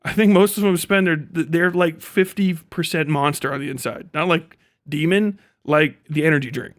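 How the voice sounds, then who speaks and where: A man's voice: fairly high pitch, moderate pace. A man talking casually and off the cuff as a livestream host, blunt, slangy and profane, slipping into acted-out bits of dialogue. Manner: animated, acts out imagined dialogue. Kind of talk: casual unscripted livestream monologue.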